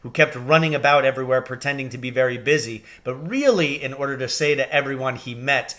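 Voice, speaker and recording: A man reading a children's story aloud with expressive, deliberate and dramatic phrasing. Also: mid-range voice. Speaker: reader, teacher reading aloud.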